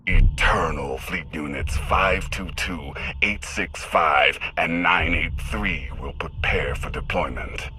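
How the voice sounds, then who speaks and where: An extremely deep, slow villain, sharp-edged and raspy. He is a commanding, ominous tyrant from a video game, giving each word deliberate menace. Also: a little hiss or hum, heavily acted. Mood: cold menace. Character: menacing male tyrant commanding a war fleet. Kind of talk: scripted video game acting.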